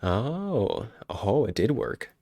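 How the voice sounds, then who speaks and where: A man's voice: lowish voice, big pitch swings, drawn-out words, gravelly, warm tone, dry recording, studio quality. A man with a head cold, sounding congested, chatting casually and rambling on a live stream. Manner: rambling, self-interrupting, chuckling.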